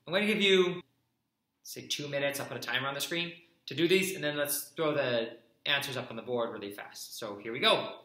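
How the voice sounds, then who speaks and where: A man teaching a chemistry lecture, brisk and explanatory with casual asides. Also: medium pitch, pushed and bright. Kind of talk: recorded classroom lecture. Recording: echoey room.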